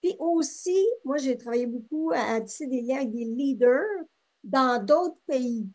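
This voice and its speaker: Interview guest: middle-aged Québécois woman, high voice, chatty, full of fillers and restarts. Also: a little slow, darkish tone. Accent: Québécois French. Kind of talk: casual interview conversation.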